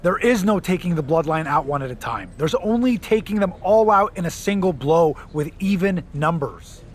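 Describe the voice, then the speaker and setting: An actor playing a determined, plain-spoken wrestler in a video game cutscene. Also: male voice, fairly high pitch, lively intonation, normal speed, a little hiss or hum, close to an everyday voice.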